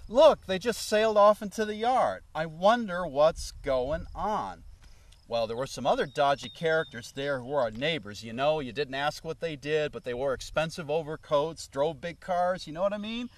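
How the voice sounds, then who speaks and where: A man with a slightly high voice spins a loose, rambling tale, dropping "you know" asides as he goes. He sounds a little off the mic, as if the recorder sits some way across the room. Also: normal speed, no room sound, distant mic. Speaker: former road manager recalling the past. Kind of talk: casual anecdotal storytelling.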